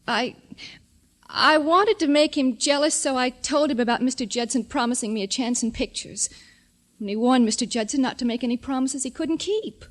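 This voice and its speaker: A woman's voice: a little high, lightly acted. A woman playing a naive, defensive young waitress in a 1940s radio drama, speaking in a hesitant, earnest, deferential way.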